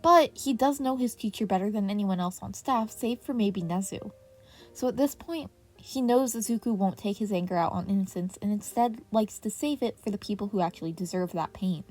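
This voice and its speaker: A woman's voice: mid-range voice, a little fast. A woman reading scripted fiction aloud as a podfic narrator. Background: soft piano music.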